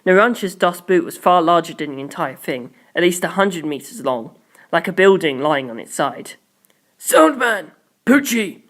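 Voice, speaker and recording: Someone reading a story aloud, amateur audiobook style, mid pitched voice, expressive. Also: normal speed.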